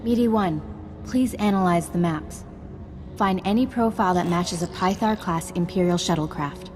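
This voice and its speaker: An actress playing a grave, resolute Jedi commander in a video game, giving briefings in a serious, measured, authoritative manner. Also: female voice, on the low side, music in the background, natural voice. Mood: calm. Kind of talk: video game cutscene acting.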